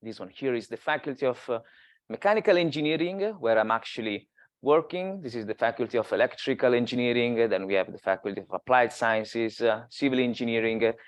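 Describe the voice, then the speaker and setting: A man's voice: mid-range voice, animated, brisk, dry recording. A man in his thirties from Italy with an Italian accent, giving an academic seminar talk, hesitant with many filler sounds. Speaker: guest speaker.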